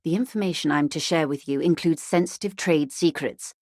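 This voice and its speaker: An actress playing a wealthy, poised company negotiator in a video game, speaking in polite, measured, formal phrases with dry irony. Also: female voice, low-pitched, a little mellow, no echo. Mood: businesslike, polite. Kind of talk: scripted game character dialogue.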